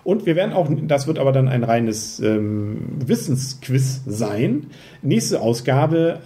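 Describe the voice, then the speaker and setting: A man's voice: medium pitch, very animated, slow pace, warm tone. A man hosting a board game podcast, chatting and joking casually with colleagues at a party. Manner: joking, chatty, lots of fillers. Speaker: podcast host. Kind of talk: casual chat. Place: office Christmas party room.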